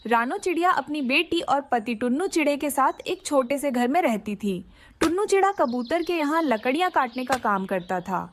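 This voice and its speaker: A woman narrates a scripted children's cartoon tale. Her voice is high, with very lively, swinging intonation. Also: normal speed, noisy background.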